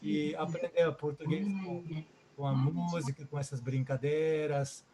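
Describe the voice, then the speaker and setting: A man with a Japanese accent, a native Japanese speaker, hosting and giving effusive closing remarks in a halting way with many repeated words. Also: a little high, fairly even intonation, crowd noise. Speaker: host. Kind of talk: closing remarks on a video call.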